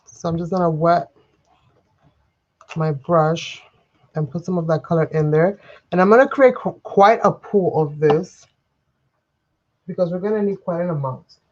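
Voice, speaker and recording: A woman's voice: fairly low pitch, a little slow, long pauses, darkish tone, lo-fi sound, a little hiss or hum. A woman hosting a live crafting tutorial, chatty and rambling as she talks to viewers, sniffly and under the weather. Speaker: craft livestream host. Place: home craft desk. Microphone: overhead camera livestream, speaker off-frame.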